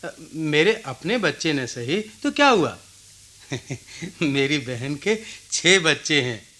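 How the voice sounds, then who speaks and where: A man's voice: a little high, big pitch swings, takes it slowly, bright, forceful tone, exaggerated voice. A man playing a proud, wounded village oil-seller in his forties, speaking emphatically in a Hindi radio drama. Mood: rueful, resigned.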